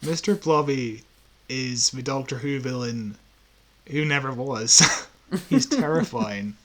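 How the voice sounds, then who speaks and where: A man's voice: mid-range voice, lively intonation, takes it slowly. A man chatting casually as a podcast co-host, explaining trivia like a keen fan. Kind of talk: casual chat.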